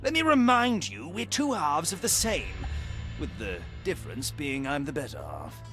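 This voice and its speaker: A performer voicing a sly, smug dark spirit, an inner voice in a video game, taunting and theatrical. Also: mid-range voice, big pitch swings, moderate pace, over music, close to an everyday voice. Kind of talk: scripted video game character acting.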